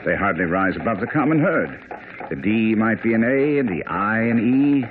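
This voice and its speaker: An actor playing a self-assured master detective in a radio drama, pedantic and decisive. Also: male voice, fairly low pitch, expressive, moderate pace, lightly acted. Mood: corrective, confident. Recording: noisy, narrow old radio broadcast.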